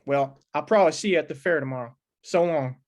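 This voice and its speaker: A woman's voice: sits low, fast talker, darkish tone, natural voice. A woman playing a touchy, guarded small-town banker in an old-time western radio drama, talking in a curt, folksy way. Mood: defensive.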